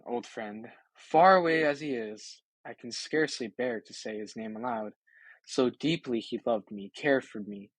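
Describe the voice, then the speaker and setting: A man's voice: mid-range voice, no echo, close to an everyday voice, rough recording. A man voicing a loyal old swineherd who grieves for his master, in an audiobook of an epic poem. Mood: grieving.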